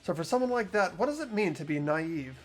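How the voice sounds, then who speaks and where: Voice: medium pitch, expressive, brisk, soft background music, close to an everyday voice. A performer voicing an earnest, hesitant teenage boy student who stammers and questions things. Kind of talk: amateur voiced game playthrough.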